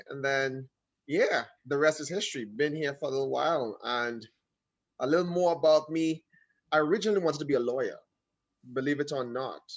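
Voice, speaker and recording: A man from Trinidad and Tobago with a Trinidadian accent, telling his story as a guest in a casual video call interview, animated and full of quick asides. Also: fairly high pitch, muffled recording.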